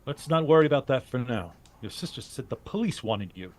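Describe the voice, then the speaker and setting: Man acting a lively, shrewd radio detective. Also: mid-range voice, brisk, lightly acted. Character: cool, shrewd adventurer detective, leader of hired bodyguards. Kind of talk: vintage radio drama acting.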